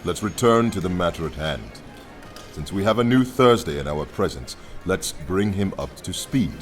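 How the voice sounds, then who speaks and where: Radio play villain: low, commanding, condescending boss of anarchists, animated delivery over faint music. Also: male voice, moderate pace. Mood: commanding, sardonic. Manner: pompous, condescending, grandiloquent. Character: imposing, sardonic anarchist leader, commanding and theatrical.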